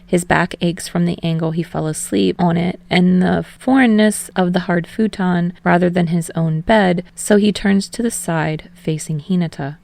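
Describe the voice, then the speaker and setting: A woman's voice: lowish voice, steady tone, normal speed, vocal fry, hardly any pauses, darkish tone. A woman reading fiction aloud as scripted narration for a podfic.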